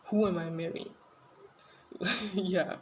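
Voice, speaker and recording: A woman's voice: lowish voice, steady tone, slow pace, slight background noise. A woman in her twenties talking in a casual solo podcast monologue, rambling and hesitant with many fillers and restarts. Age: 20s. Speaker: podcast host.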